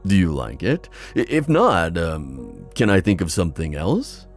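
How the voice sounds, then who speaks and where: A man playing a proud, protective sea god and father in a fantasy ASMR roleplay, musing haltingly with sighs. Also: deep voice, sing-song, faint music behind. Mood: gentle, reassuring.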